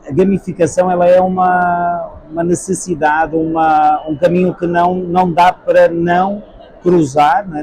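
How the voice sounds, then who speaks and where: A man's voice: fairly high pitch, creaky, darkish tone, a little hiss or hum, poor audio. Man with a European Portuguese accent. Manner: measured, frequent hesitations. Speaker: guest, edtech sales executive. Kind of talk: trade-fair interview answers. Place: busy expo hall.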